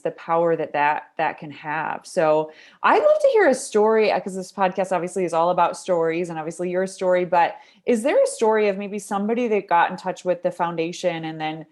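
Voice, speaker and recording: A woman hosting a podcast, asking long, rambling questions filled with "right?" and "you know.". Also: on the low side. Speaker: podcast host. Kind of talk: interview questions, casual chat.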